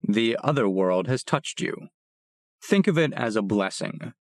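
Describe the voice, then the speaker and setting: A man voicing a calm, feral, mystical street man who speaks slowly and gravely. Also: on the low side, no echo, studio quality. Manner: slow, grave, measured.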